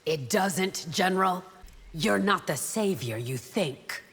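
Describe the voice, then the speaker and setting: A fierce, loyal bodyguard from a fighting game. Her voice sits a little low and moves widely as she delivers formal, defiant lines. It rings in a long reverb with faint noise behind. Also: expressive, takes it slowly, crowd noise. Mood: defiant, taunting. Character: fierce, devout, loyal female warrior bodyguard. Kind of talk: video game fight intro dialogue. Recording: reverberant hall, noisy game backdrop.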